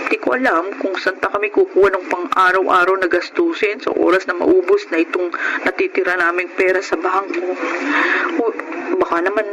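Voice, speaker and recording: An actress playing a meek, worried wife in a radio drama, hesitant and placating in manner. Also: female voice, on the low side, background noise, natural voice. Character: meek, worried, conciliatory wife of jobless husband.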